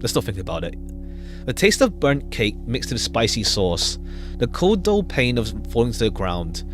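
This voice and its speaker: A man's voice: medium pitch, sing-song, normal speed, soft background music. A man streaming a visual novel, reading the game text aloud and adding casual commentary.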